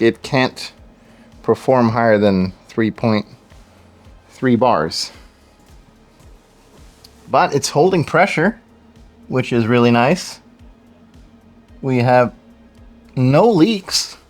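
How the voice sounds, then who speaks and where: A man from Sweden, a native Swedish speaker, chatting casually to viewers while he works on a car project in his garage and thinks aloud. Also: medium pitch. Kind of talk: casual garage work commentary. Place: home garage.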